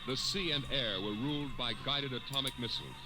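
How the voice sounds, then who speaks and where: An actor playing a solemn narrator of a grim war tale, measured and dramatic in 1950s radio style. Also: male voice, medium pitch, natural voice. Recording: old radio recording, loud music.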